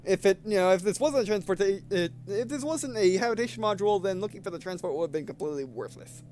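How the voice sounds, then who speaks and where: A man's voice: high-pitched, lively intonation, fast, darkish tone, a little hiss or hum. A man reading visual novel text aloud with casual commentary as a let's player, stumbling over words as he goes. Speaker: video game let's player.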